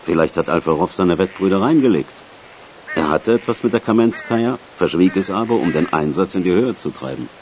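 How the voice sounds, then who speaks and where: An actor playing a provincial police lieutenant in a German radio crime drama. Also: male voice, sits low, expressive, brisk, darkish tone, slight background noise. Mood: speculative.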